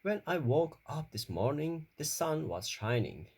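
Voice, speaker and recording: Slow, lilting man reading a children's story. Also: a little high, very animated, darkish tone, slightly rough. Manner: deliberate, careful reading in short broken phrases. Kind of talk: read-aloud children's bedtime story.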